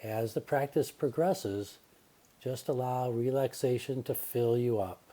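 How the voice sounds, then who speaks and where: A man guiding a relaxation meditation as a yoga nidra teacher, speaking calmly and gently with unhurried pauses. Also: medium pitch.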